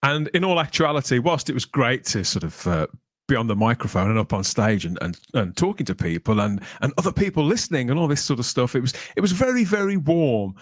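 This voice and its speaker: A man's voice: medium pitch, sing-song, fairly quick, no room sound. A man from Birmingham with an English accent, presenting and chatting as a podcast host. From Birmingham, England. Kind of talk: upbeat podcast presenting and chat.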